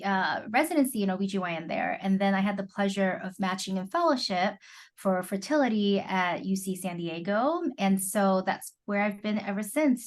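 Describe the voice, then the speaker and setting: Middle-aged American woman, fertility doctor as interview guest, chatty and conversational, mid-pitched voice. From Southern California, born in Taiwan. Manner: chatty, peppers talk with "you know".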